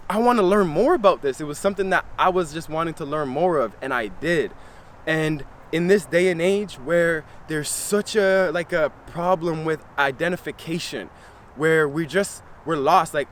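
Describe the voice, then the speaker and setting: Young man, high voice, earnest, repetitive emphasis, faint street noise behind. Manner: earnest, repeats phrases for emphasis; relaxed slangy speech. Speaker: wellness channel host. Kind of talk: casual motivational talk to camera.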